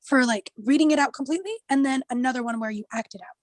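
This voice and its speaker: High-voiced woman debating casually. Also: quick pace, dry recording, studio quality. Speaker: participant in a film class group meeting. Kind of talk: casual class discussion.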